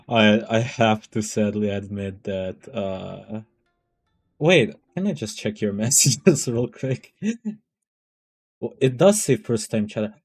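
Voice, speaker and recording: Slow, low-pitched man chatting on stream. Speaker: live streamer reading chat. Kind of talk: casual chat to stream viewers.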